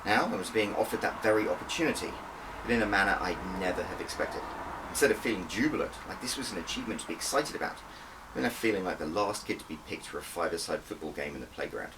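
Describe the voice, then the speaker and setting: A man's voice: low-pitched, animated, fast talker, recorded from a distance, background noise. A man reading his own novel as an audiobook, in dramatic, emphatic first-person narration.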